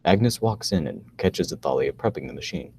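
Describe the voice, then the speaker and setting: A man's voice: extremely low pitch, expressive, normal speed, darkish tone, slight background noise. A man reading scripted narration as the storyteller of a radio play.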